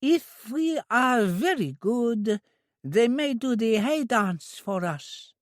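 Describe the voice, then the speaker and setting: A reader voicing a childlike, eager young woman who speaks slowly in a high, sing-song, pleading way. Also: expressive, no room sound, clean studio sound.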